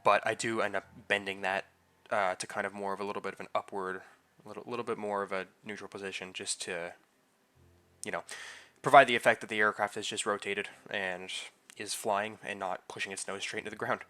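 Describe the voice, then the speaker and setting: A fairly low-voiced man talks through his hobby build in an easy, rambling way, full of fillers and restarts. His tone is bright and forceful, and a lingering head cold leaves him a bit nasal and stuffy. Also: level tone, fairly quick. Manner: loose and chatty, with many fillers and restarts. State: getting over a head cold, slightly congested. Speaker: scale model builder and channel host. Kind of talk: casual voice-over narration of a model build.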